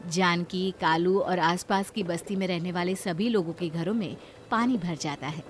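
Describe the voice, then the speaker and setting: A woman narrator reading a scripted moral story. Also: medium pitch.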